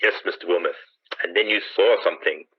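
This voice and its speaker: A man's voice: low-pitched, quick pace, husky. A man playing an urgent, awed on-scene news commentator in a 1930s-style radio drama, searching for words as they describe things. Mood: brisk, impatient. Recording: dull lo-fi old radio sound.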